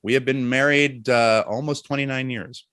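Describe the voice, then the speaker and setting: A middle-aged man chatting casually as an interview guest, telling jokey anecdotes in an animated way. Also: medium pitch, normal speed, no echo, studio quality.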